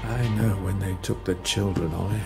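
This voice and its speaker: An actor playing a cynical, condescending army officer in a dramatic video game. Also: male voice, low voice, fairly even intonation, normal speed, creaky, music in the background. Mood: irritated. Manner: sardonic, condescending. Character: cynical, commanding male army officer, dismissive and sardonic.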